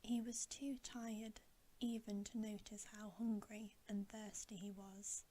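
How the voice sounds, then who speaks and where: Slow, whispering woman reading bedtime stories. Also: medium pitch, little pitch movement, mellow. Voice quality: breathy whisper. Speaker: ASMR narrator. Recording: faint background noise.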